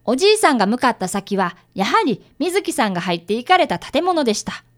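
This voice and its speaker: A woman's voice: on the high side, sing-song, brisk. A woman playing an earnest, polite young boy apprentice detective in a radio drama, narrating and talking formally. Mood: earnest, puzzled.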